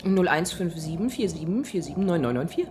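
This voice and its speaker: An actress playing a defiant young blackmailer in a radio crime drama, terse and dryly sarcastic. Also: female voice, lowish voice, lively intonation, a little fast, noisy background, lightly acted. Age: young adult. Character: defiant young woman caught as blackmailer, dry and blunt. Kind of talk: radio play dialogue.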